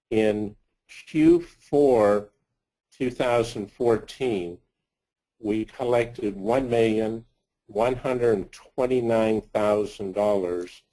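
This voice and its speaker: A man giving a slide presentation of financial results as a company executive, speaking haltingly in short phrases. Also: on the low side, darkish tone, poor audio.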